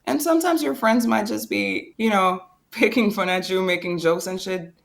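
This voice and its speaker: A young woman talking as a guest in a casual interview, eager and blunt, quick to agree and not shy about swearing. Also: mid-range voice, creaky. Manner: enthusiastic, quick to agree, plain-spoken with some swearing.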